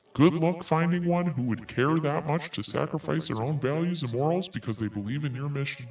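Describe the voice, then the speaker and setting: A man's voice: on the high side, a bit bright, distant mic, rough recording. A man podcast host reading an email aloud in a theatrical, emphatic way. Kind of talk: dramatic read-aloud of an email.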